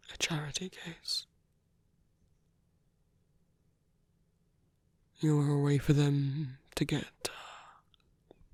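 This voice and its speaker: A performer acts out a seductive villain in a romantic ASMR roleplay. The voice is breathy and very dry, with a slow, halting delivery. It coaxes tenderly and protectively, often sinking into a whisper. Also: mid-range voice, no room sound. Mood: bitter, persuasive. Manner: slow, halting, gently coaxing.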